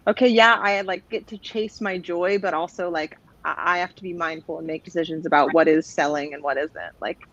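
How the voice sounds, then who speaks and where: A woman in casual, excited chat, talking in a gushing, slangy way. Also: fairly low pitch, a little fast, few pauses. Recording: slightly dull online call audio.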